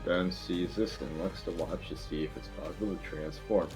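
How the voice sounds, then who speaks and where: A man's voice: sits low, moderate pace, darkish tone, soft background music. A man reading out scripted narration as an amateur table read narrator, informally and stumbling over the script.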